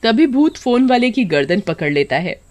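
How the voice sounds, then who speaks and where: A woman narrating a scripted cartoon story in a steady storytelling manner. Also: a little high, moderate pace.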